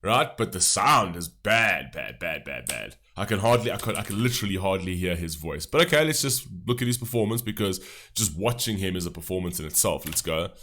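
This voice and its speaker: A man's voice: sits low, animated, a little fast, sharp tone. A man with a stuffy nose from a cold, talking loosely in a casual reaction commentary with many fillers. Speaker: music reaction host.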